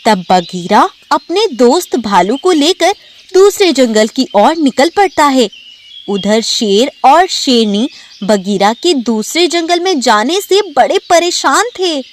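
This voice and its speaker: A woman's voice: high voice, big pitch swings, takes it slowly, a little hiss or hum. A woman narrating a scripted children's animal story.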